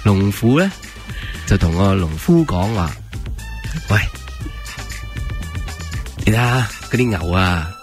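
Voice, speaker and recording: A man hosting a Hong Kong radio talk show in a Hong Kong Cantonese accent, bantering casually with a joking, easygoing manner and frequent quick agreements. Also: on the low side, lively intonation, music in the background. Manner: laid-back, joking, frequent affirming "yes, yes". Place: radio studio.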